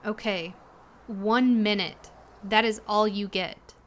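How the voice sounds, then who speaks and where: A woman's voice: medium pitch, steady tone, slow, natural voice. An actress in an audio drama playing a weary, distrustful and defiant young woman passenger. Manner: wary, confrontational.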